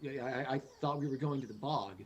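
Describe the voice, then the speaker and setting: A man's voice: mid-range voice, fast talker, natural voice. A man playing an anxious, skeptical young son in a radio play, stammering and full of questions. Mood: uneasy, doubting. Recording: noisy recording with rain-like hiss.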